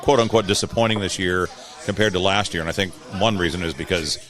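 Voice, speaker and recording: A man talking casually about sports as an interview guest, with a measured, halting delivery. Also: low voice, fairly bright tone. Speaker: guest, PA announcer and post-game radio host.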